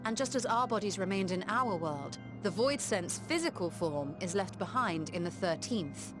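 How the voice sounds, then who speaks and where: An actress voices a learned, adventurous woman mage in a fantasy video game. She speaks in formal, articulate phrases like a confident lecturer, at a medium pitch. Loud music plays behind her. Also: lively intonation, moderate pace, lightly acted. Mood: measured, explanatory. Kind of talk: scripted video game dialogue.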